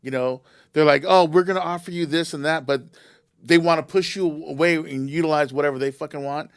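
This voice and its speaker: A man's voice: on the high side, steady tone, fairly quick, smooth, dry recording, clean studio sound. Blunt man who swears freely. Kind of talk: casual group chat.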